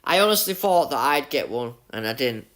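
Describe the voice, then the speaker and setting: A man's voice: mid-range voice, animated, a little fast, a bit bright. A young man with a Yorkshire accent chatting casually with his live-stream viewers while playing a racing game, greeting them by name and laughing at his own luck. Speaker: gaming livestreamer.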